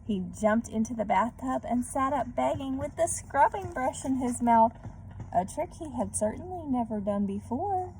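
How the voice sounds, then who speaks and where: A woman reading a storybook aloud to children in a gentle manner, pausing to put questions to her young listeners. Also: high-pitched, expressive, low-quality recording. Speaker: read-aloud host for children. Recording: background noise.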